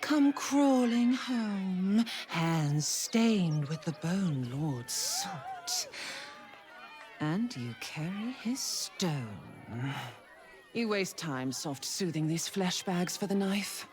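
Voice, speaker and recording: A slow, swooping, sneering villainess. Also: female voice, medium pitch, wildly swooping pitch, darkish tone, faint music behind, heavily acted. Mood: scornful, threatening. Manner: sneering, theatrical. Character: menacing, contemptuous woman, murderous and vengeful. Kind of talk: fantasy video game acting.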